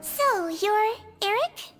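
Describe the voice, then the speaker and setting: Very high childlike voice, gentle girl nurse, polite, methodical, game acting, music behind. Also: lively intonation, extremely slow, full character voice. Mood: calm, assured. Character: small gentle young girl head nurse, kindly and methodical.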